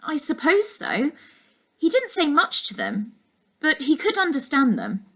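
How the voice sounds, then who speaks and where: A woman voicing an anxious, stubborn young girl in a storybook, excitable and insistent. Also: high-pitched, unhurried, voice pushed up, full character voice. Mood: thoughtful. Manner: excitable, urgent, pleading.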